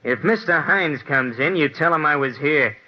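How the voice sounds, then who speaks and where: A man's voice: fairly high pitch, expressive, normal speed, close to an everyday voice. A man playing a pushy, menacing ex-convict in an old radio drama, belligerent, with clipped slangy talk. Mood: menacing, impatient. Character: pushy, menacing tough-guy ex-convict brother. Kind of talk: 1940s radio drama acting. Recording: muffled old radio recording.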